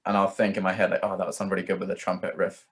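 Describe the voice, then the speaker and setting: A man's voice: sits low, completely flat delivery, racing. A young man chatting casually as an interview guest, a musician who rambles and hedges with plenty of filler words. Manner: rambling, hedging, peppers talk with "like" and "kind of". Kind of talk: casual chat.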